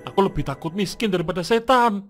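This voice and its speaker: A man's voice: high-pitched, lively intonation, fast. A man voicing a greedy, smug property boss, a gloating and mocking villain in a comic horror cartoon. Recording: music playing behind.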